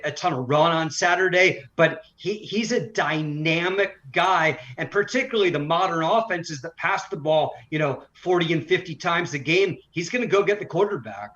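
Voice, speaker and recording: A man's voice: on the high side, sharp tone. A man giving conversational sports analysis as an interview guest, a broadcaster who makes his points emphatically in runs. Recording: slightly dull, lo-fi audio.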